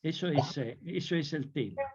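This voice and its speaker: An older man from Italy with an Italian accent, a guest lecturer answering questions on a video call, speaking haltingly with many restarts. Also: fairly high pitch, animated, slightly rough. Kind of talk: Zoom talk Q&A. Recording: muffled video call audio.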